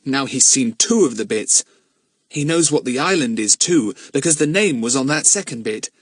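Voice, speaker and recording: A man's voice: mid-range voice, expressive, normal speed, no room sound, clean studio sound, pitched above the performer's own voice, natural voice. A man doing a grumbling boy. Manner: muttering.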